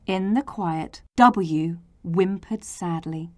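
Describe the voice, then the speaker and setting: A woman reading a children's storybook aloud in a theatrical, deliberate way, acting out the characters. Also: fairly low pitch, no room sound, clean studio sound. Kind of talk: expressive children's storybook narration.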